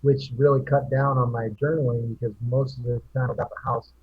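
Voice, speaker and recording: A man's voice: medium pitch, level tone, brisk, breathy edge, narrow, tinny audio. A man hosting a casual group chat show, rambling with many restarts. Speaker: podcast host.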